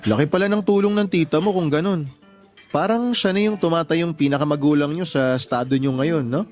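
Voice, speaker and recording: Easygoing supportive young boyfriend played by an actor. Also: male voice, on the high side, fairly quick, a little mellow, soft background music, close to an everyday voice. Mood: concerned. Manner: casual, concerned, questioning. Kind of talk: Filipino radio drama acting.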